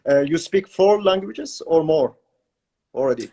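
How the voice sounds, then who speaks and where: Male interviewer, notably high voice, informal and halting as he circles back over his questions. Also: lively intonation, dark tone. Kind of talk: casual interview.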